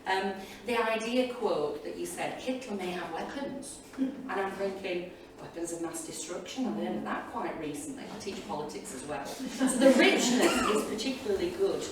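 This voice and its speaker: A woman teacher giving informal, enthusiastic and rambling reflections to an audience as a panelist. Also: mid-range voice. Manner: chatty, enthusiastic, digressive. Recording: echoey hall, distant mic, loud room noise.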